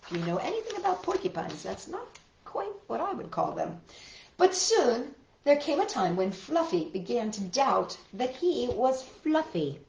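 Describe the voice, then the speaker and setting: A woman hosting a children's storytime, reading picture books aloud with expressive, exaggerated delivery. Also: on the high side, measured pace, gravelly. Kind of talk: animated picture-book read-aloud and sing-along for young children. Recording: roomy echo with guitar music.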